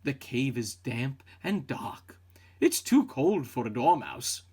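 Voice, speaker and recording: Expressive, husky man reading a picture book. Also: mid-range voice, slow. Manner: expressive storytelling. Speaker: storybook reader. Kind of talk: scripted children's picture-book narration.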